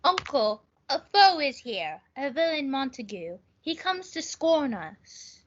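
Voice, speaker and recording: Hot-headed defiant young man, played by a female student, high voice, drawn-out delivery, lo-fi stage reading. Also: big pitch swings, full character voice. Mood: furious. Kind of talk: student reader's theatre performance. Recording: dull lo-fi audio.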